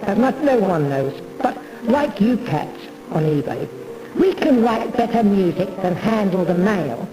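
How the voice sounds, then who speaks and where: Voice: medium pitch, expressive, a little slow. Echoey, distant, theatrical rambling speaker with music. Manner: rambling, mannered, addresses listener as "pal". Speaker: amateur pianist and performer. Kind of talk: rambling theatrical monologue to camera. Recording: roomy echo, distant mic, music behind.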